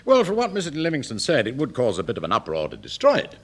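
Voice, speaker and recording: An actor playing a pompous, flustered and work-shy senior English civil servant in a radio sitcom. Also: male voice, mid-range voice, wildly swooping pitch, fast talker, husky, heavily acted. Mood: blustering, complacent. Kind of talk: British radio sitcom acting. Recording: noisy old radio comedy recording.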